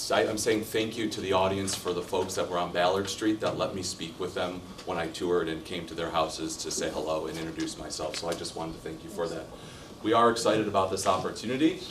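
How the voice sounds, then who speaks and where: A loud, friendly man presenting a housing project to a township meeting. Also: low voice, a little hiss or hum. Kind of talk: public presentation. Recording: echoey room, distant mic.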